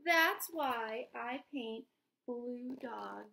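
A woman in her thirties or forties reading a picture book aloud to young children, sing-song and expressive, often asking questions. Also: on the high side, extremely slow, creaky, slight background noise. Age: 30s or 40s. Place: small indoor room at home.

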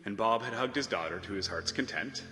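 A man narrating a storybook tale theatrically, with measured phrasing and emphatic swells. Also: fairly low pitch, animated, pushed and bright. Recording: reverberant hall sound, music behind.

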